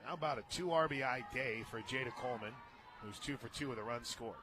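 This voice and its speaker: An American man doing live radio play-by-play of softball, conversational, with wry asides between calls. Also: mid-range voice, fast. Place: radio booth at a ballpark. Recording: noisy outdoor ballpark broadcast.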